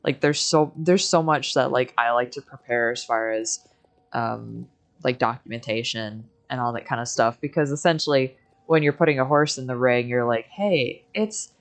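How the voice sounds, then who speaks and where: A woman's voice: rumbling low, moderate pace. A woman chatting informally and casually while playing a game on a livestream.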